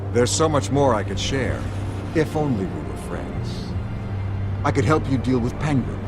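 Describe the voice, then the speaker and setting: An actor playing a corrupt older mayor in a video game drama, speaking with smooth, pompous condescension. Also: male voice, on the low side, lightly acted. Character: smug, corrupt older male mayor and power broker. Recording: music behind the voice.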